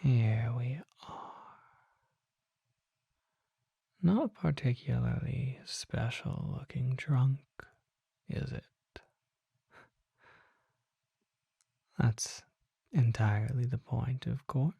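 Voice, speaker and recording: Performer acting out a secretive vampire apothecary, slow ASMR whisper, very breathy, formal, coy. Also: medium pitch, dark tone, no echo, dull audio, exaggerated voice. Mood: coy, inviting. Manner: measured, formal, coy rhetorical questions. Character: mysterious vampire apothecary merchant, suave, secretive, unhurried. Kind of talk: ASMR roleplay, soft whispering.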